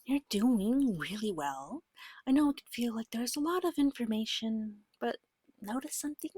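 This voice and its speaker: A woman gives slow, patient guidance in a soft, breathy ASMR voice that often drops into a whisper. Her pitch moves in lively little swings between short, reassuring phrases. The sound is very dry and intimate. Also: no echo. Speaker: guide teaching a beginner tabletop game. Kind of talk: soft-spoken ASMR, slow guided explanation.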